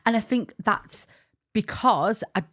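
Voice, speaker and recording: A woman's voice: medium pitch, lively intonation, slow pace, a bit bright. A young Scottish woman co-hosting a casual couple's podcast, chatty and teasing with frequent giggles. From Scotland. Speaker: podcast co-host.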